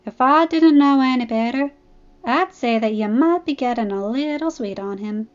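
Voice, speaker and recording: A woman's voice: high-pitched, moderate pace, smooth, lo-fi sound, lightly acted. A woman voicing a folksy, down-to-earth female farm pony with a homespun way of talking. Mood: gentle. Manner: easygoing, homespun.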